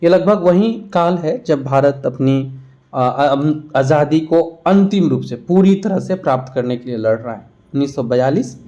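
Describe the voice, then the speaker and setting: A man's voice: fairly high pitch, animated, a little slow, warm tone, muffled recording. A man with an Indian accent teaching an exam revision lesson, posing questions and then answering them in an instructional way. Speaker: history teacher.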